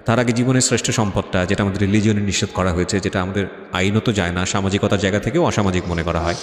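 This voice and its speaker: A man's voice: fairly low pitch, fairly even intonation, quick pace, slight background noise. A man with a Bangladeshi accent hosts a radio interview, reflecting and advising, with English phrases mixed into his speech. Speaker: radio host. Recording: echoey reverb, faint music bed. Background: faint music.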